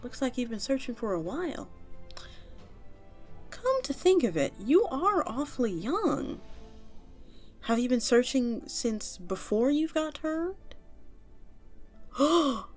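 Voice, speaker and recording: A woman's voice: sits high, takes it slowly, long pauses, soft background music, pitched above the performer's own voice, full character voice. A woman voicing a friendly, nurturing vampire tavern keeper in an audio roleplay, chatting to the listener in a coaxing, conversational way. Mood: sympathetic, encouraging. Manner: animated, coaxing, conversational with little chuckles.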